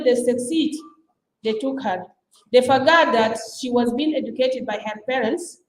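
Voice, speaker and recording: A woman's voice: a little high, a little mellow, slight background noise. A woman, not an English speaker, giving an impassioned conference speech as a women's organization leader, emphatic and repeating phrases for effect. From South Sudan. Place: conference hall. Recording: echoey hall, mic at a distance.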